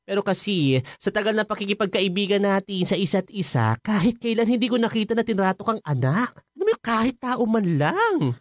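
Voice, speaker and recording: A woman playing an outspoken, protective best friend in a radio drama, expressive, nagging and comforting with exasperated interjections. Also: medium pitch, brisk, no room sound, studio quality. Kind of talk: Filipino radio drama dialogue.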